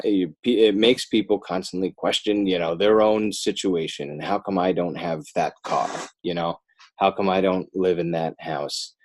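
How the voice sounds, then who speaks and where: A low-pitched man with an American accent talks as a guest in a casual interview. He rambles a little, backs up to correct himself and pieces his answers together in a halting way. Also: a little mellow. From Northern New Jersey, United States. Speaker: podcast guest, children's book author. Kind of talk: casual podcast interview chat.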